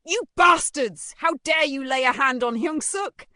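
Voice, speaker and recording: A narrator voicing a loud, outraged and protective mother in a dramatized story. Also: high voice, measured pace, no echo, studio quality, voice pushed up. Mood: furious. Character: furious protective mother of a schoolboy.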